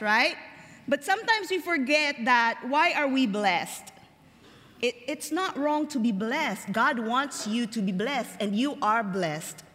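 A young woman from the Philippines, giving a personal testimony as a chapel speaker, chatty and slowing down for emphasis. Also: on the high side, fairly bright tone. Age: young adult. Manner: conversational, laughs easily, slows for emphasis. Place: large chapel. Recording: long hall reverb.

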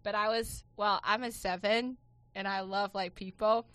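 A woman's voice: mid-range voice, fairly even intonation, moderate pace, dry recording. A young woman from China, a student, answering interview questions in a chatty way full of "like". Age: young adult. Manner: chatty, many "like" fillers, soft-spoken. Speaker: student guest.

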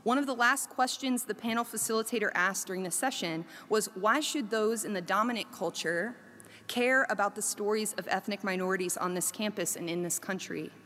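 A woman's voice: mid-range voice, fairly bright tone. Earnest woman speaking in a reverberant space. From Korea. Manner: earnest, measured, with emphatic lists. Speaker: professor. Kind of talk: reflective prepared talk.

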